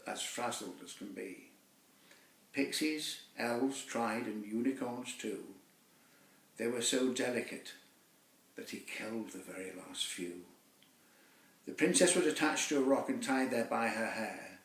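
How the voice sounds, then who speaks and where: A man recites a children's story poem in a roomy, echoing space. He sits a little away from the microphone. His delivery is slow and deliberate, with theatrical storytelling phrasing. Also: mid-range voice. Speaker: poet reading his own children's poem. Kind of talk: dramatic rhymed storytelling for children. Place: echoey room, away from the mic. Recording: roomy echo, distant microphone.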